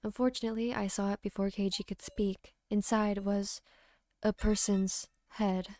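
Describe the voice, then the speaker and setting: A woman playing a wary, easily flustered teenage high school girl in a game. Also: little pitch movement, moderate pace, dark tone, natural voice. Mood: grave.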